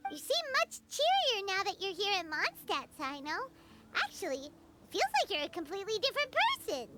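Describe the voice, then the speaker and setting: A woman voicing a small, childlike, excitable female fairy companion in a video game, squeaky and cheeky. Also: brisk, very noisy. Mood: chatty. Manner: cheeky, exclamatory, refers to self in third person.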